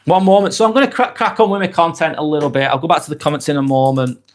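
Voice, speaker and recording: A man's voice: a little high, racing. A man from East Lancashire with a Lancashire accent, chatting casually on a livestream vlog and reading out viewer comments. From East Lancashire, England. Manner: chatty and rambling, with frequent ums and restarts. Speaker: livestream vlogger.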